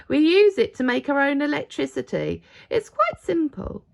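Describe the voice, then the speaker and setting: A hearty, friendly old gentleman of a children's storybook, acted out by a woman. He exclaims with pride, and his pitch swings widely. There is a strong creak in the voice. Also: very animated, normal speed, full character voice. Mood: shouting proudly.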